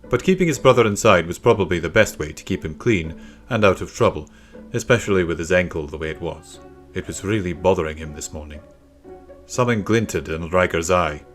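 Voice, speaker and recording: A man's voice: low-pitched, expressive, brisk, faint music behind. A man reading a scripted children's story as an audiobook narrator.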